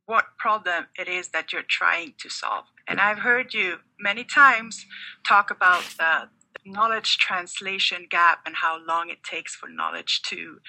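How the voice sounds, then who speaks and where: A woman with a Swedish accent, a physician from Sweden, guests on a podcast. She asks thoughtful, probing questions. Her voice is very bright and sharp, at a middle pitch. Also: poor audio. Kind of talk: podcast interview.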